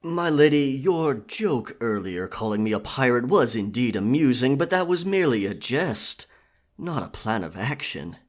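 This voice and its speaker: A man's voice: mid-range voice, very animated, moderate pace. A man voicing an earnest, courteous royal knight in a scripted roleplay audio, speaking formally and chivalrously. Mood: flustered protest. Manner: formal, chivalrous, wordy speech.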